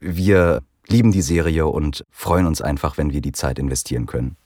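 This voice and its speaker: A man's voice: rumbling low, normal speed, a little mellow, dry recording, studio quality. A man hosting a casual podcast chat, explaining things in a friendly way while he has a cold. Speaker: podcast host.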